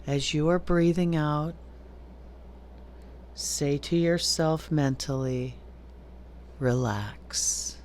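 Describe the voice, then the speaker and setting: A woman teaching yoga nidra, giving calm, deliberate guided meditation instructions with long pauses between phrases. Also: deep voice, slight background noise.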